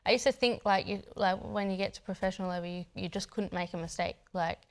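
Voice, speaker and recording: A woman's voice: medium pitch, fairly even intonation, fast talker, gravelly. A woman, a footballer guest chatting casually in an interview, hesitant with many fillers and light laughs. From Australia.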